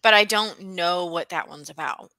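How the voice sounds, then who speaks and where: A woman's voice: lowish voice, normal speed, bright, forceful tone, rough recording. A woman chatting casually with a friend as a guest on a reading stream, playful and thinking aloud as she talks. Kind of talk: casual chat between friends.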